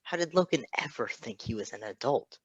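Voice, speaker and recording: A man's voice: medium pitch, animated, a little fast, husky, no room sound, highs cut off. A man reading fan fiction aloud as a narrator, with casual asides.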